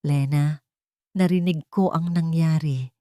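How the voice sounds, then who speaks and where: A woman's voice: deep voice, steady tone, takes it slowly, mellow, no echo, clean studio sound. Woman reading as an earnest, protective man. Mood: concerned. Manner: earnest, insistent, direct. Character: earnest, protective adult man, a repentant father.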